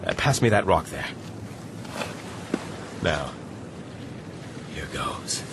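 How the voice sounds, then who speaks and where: A man acting an excitable, determined castaway in a period audio drama, with emphatic, old-fashioned formal diction. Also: a bass voice, drawn-out words, heavily acted. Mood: eager, brisk. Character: excitable, determined male castaway, courteous but self-reproaching. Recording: clear noise behind the voice, outdoor ambience.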